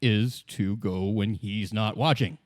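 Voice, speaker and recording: A man's voice: on the low side, unhurried, no room sound, studio quality. A man acting as game master, narrating the game in a casual, colloquial, improvised way. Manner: conversational, colloquial.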